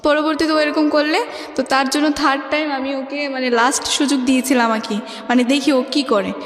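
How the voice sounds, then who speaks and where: A woman's voice: high voice, steady tone, fairly quick. A young woman with a Bangladeshi accent telling her life story as an interview guest in rambling, colloquial speech. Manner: rambling, colloquial, often recounts reported speech. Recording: echoey reverberant room, music underneath.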